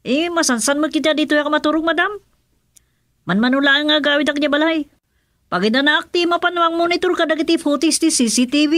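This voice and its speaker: Give the radio drama a deferential female household helper with a Filipino accent. Her voice is high and polite, with a dry, close sound. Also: a little fast, a bit bright, no room sound.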